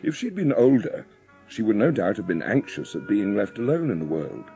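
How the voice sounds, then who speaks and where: Narrator for dramatised audiobook: man, lowish expressive voice, storytelling delivery over faint music. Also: moderate pace, creaky.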